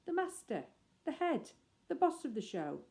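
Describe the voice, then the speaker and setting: An earnest schoolboy, voiced by a woman, pitched high. He speaks politely, with grand businesslike airs, as in a children's storybook. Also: sing-song, normal speed, a little mellow. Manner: polite, self-important.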